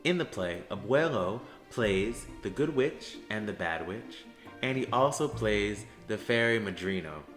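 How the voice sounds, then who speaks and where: A man's voice: on the low side, animated, a little slow. Upbeat man explaining in an echoey room. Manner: upbeat, friendly teacher tone. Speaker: theater education associate. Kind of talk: explanatory talk to students. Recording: roomy echo with soft music.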